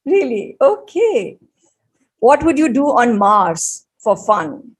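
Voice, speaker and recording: Brief: female interview host, giggly, high lively voice, unhurried. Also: breathy edge. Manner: giggly, encouraging, short reactions. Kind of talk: rapid-fire interview questions.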